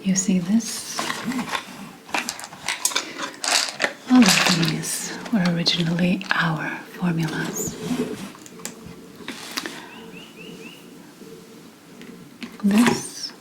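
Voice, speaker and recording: A woman playing an indignant, bitter 17th-century witch and healer in a whispered ASMR roleplay, deliberate and scornful. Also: fairly low pitch, very noisy. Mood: quietly resentful. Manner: slow, deliberate, scornful whispering with pauses and sighs.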